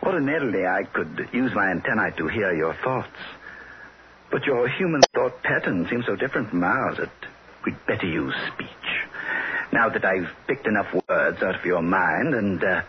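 A man playing a small old alien in a 1960s radio drama, polite and calmly menacing, hesitating as he searches for words. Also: on the low side, moderate pace, close to an everyday voice. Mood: chatty, affable. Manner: genteel, hesitant word-searching, matter-of-fact. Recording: dull, noisy old radio recording.

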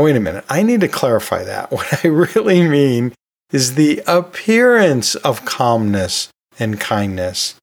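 A man hosting a podcast, talking through teaching tips in a conversational, emphatic way with self-deprecating humor. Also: mid-range voice. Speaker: podcast host, educator.

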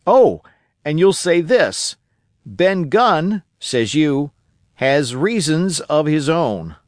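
A man voicing an eager, cunning old marooned sailor who talks in a rough rustic dialect. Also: fairly high pitch, sing-song, very slow, no echo, studio quality, voice pushed up, exaggerated voice. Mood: insistent. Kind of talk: audiobook character voice.

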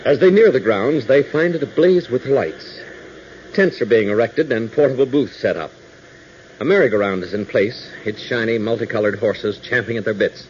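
A man's voice: medium pitch, normal speed, a little mellow, a little hiss or hum. An American man announcing and narrating a 1940s radio adventure show with an enthusiastic, dramatic scripted delivery. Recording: muffled vintage 1940s radio recording.

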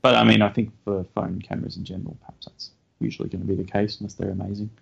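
A man co-hosting a casual podcast, talking hesitantly with many fillers and a self-deprecating manner, feeling low on energy. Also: level tone, very fast, vocal fry, breathy edge. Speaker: podcast co-host. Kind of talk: casual chat. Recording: dull lo-fi sound.

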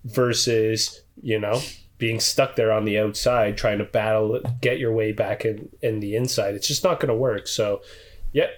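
A man's voice: on the low side, steady tone, normal speed. A man co-hosting a podcast, chatting casually and giving his opinions in an informal way. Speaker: podcast co-host.

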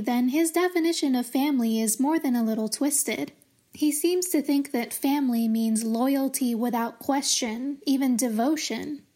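High-voiced woman podcast host, wry and explanatory. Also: a little mellow. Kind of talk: literary analysis podcast monologue.

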